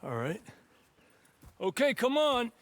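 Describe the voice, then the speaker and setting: An actor playing a cheeky, boastful young outlaw, teasing and theatrical, in a Western video game. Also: male voice, very high-pitched, huge pitch swings, slow pace, heavily acted. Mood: eager. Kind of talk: cinematic video game acting.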